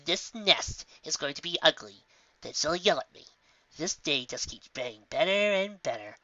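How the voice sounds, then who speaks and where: A woman plays a sardonic female pony magician in a story reading. She has a lowish, bright voice with dry sarcasm and proud, boastful flashes. The sound is a little lo-fi. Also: big pitch swings, normal speed, dry recording, full character voice. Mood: dry, resigned.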